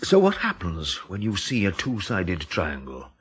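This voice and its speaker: An actor playing a sceptical, uneasy gentleman in an audio drama, with a husky voice and a halting, intense delivery. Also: sits low, wildly swooping pitch, full character voice. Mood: haunted. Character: sceptical, uneasy male gentleman named Lewis.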